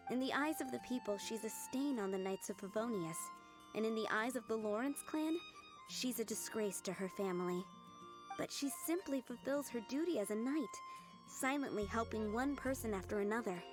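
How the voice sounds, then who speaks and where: Kind, calm female innkeeper type, played by a woman; slightly high, steady, game score underneath. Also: normal speed, close to an everyday voice. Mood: earnest. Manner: warm, calm and earnest. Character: kind gentle female restaurant keeper. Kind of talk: video game voice acting. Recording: game dialogue over music.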